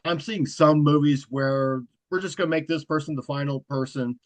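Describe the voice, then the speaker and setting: A man as a guest in a casual interview. He speaks in a self-described monotone, even manner. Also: on the high side, moderate pace, dry recording. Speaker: guest, horror content creator.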